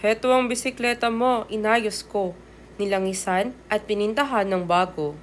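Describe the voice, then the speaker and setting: A woman voicing a loyal old talking bicycle in a children's storybook, coaxing and animated as it reassures. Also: mid-range voice, normal speed, lightly acted. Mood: reassuring. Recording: clear background noise.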